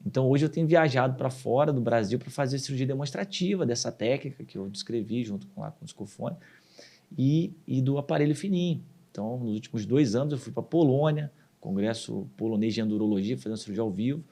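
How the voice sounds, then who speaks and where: Fast-talking gravelly man. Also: mid-range voice. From southern Brazil. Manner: animated storyteller, acts out quoted dialogue. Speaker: urologist guest. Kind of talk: casual podcast interview.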